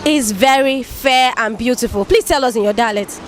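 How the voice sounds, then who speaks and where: A woman hosting a street interview outdoors, upbeat and quick to thank her guests. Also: fairly high pitch. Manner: upbeat, repeats thanks often. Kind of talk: street vox-pop interview. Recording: street crowd noise behind.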